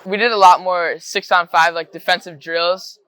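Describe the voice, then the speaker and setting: A sixteen-year-old man from Connecticut, talking relaxed and casual with "like" and "uh". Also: high voice, moderate pace, clear, resonant tone, no room sound. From Connecticut, USA. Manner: relaxed, uses "like" and "uh". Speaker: student athlete. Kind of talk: casual testimonial interview.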